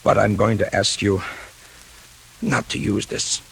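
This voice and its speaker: An actor playing a sly, ailing veteran newspaperman with a husky, halting, weary voice in 1940s radio style. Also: on the low side, steady tone, fairly bright tone, full character voice, low-quality recording. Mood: sly, tired. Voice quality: gravelly, husky. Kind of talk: 1940s radio drama acting.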